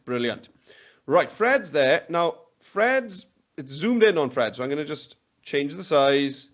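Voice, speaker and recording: Upbeat man demoing software. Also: fairly high pitch, big pitch swings, unhurried. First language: Welsh. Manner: upbeat, chatty, thinks aloud. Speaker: webinar presenter. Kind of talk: live software demonstration. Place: indoor room, on a video call.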